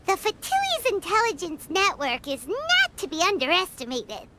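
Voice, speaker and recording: A woman's voice: very high voice, huge pitch swings, unhurried, heavy background noise, heavily acted. A woman voicing a haughty, theatrical young noble girl in a video game, with formal, grandiose delivery. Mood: grave, lofty. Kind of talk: scripted game cutscene acting.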